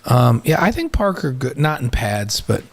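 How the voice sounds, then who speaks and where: Casting: male co-host, middle-aged, medium pitch, easy conversational sports talk with brief read items. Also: big pitch swings, normal speed, creaky. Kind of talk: casual banter reading on-this-day history items.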